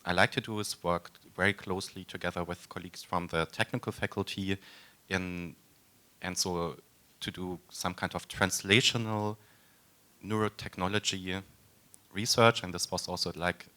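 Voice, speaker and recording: A man introducing himself on a panel in a relaxed, hesitant and slightly wry way. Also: low-pitched, normal speed. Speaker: panelist, researcher.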